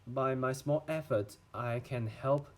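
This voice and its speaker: Chinese-accented young man explaining physiology. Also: mid-range voice. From China. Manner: careful, halting, asks and answers his own questions. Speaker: medical student. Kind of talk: lecture-style explainer video.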